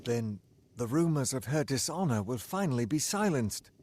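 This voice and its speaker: An actor playing an earnest, weary soldier from a besieged fortress in a fantasy video game, speaking solemnly and formally. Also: male voice, medium pitch, sing-song, moderate pace, a little mellow, a little hiss or hum, lightly acted. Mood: solemn, grateful.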